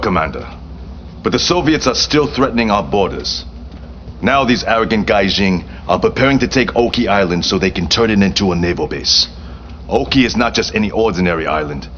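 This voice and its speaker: A man's voice: low voice, lively intonation, normal speed, fairly bright tone, muffled recording, a little hiss or hum. A man acting a proud, vengeful Japanese prince in a game cutscene, speaking formally and solemnly with grandiose command. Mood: indignant, resolute.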